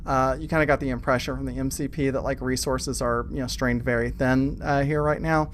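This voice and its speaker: A man running a tabletop game, improvising narration in a halting, conversational way. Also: medium pitch, steady tone, slight background noise. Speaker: game master.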